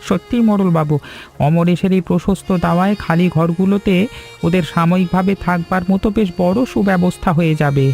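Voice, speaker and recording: A devoted village friend in a cartoon, voiced by a man, always ready to help. The voice sits high for a male and is heard over music. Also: fairly even intonation, fairly quick, vocal fry, a little mellow. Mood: concerned. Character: loyal, selfless village friend of a farmer. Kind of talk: scripted cartoon dialogue.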